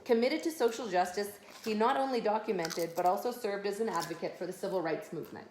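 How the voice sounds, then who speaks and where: A woman's voice: mid-range voice, fast talker, a little mellow. Woman, mother reading aloud to children, casual asides, echoey home room, faint background noise.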